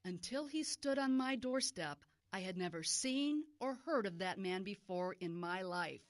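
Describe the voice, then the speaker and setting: A woman's voice: medium pitch, expressive, a little slow, no echo, studio quality, natural voice. A woman playing an anxious, indignant mother of a missing child in an audio drama, distressed and demanding. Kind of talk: modern audio drama acting.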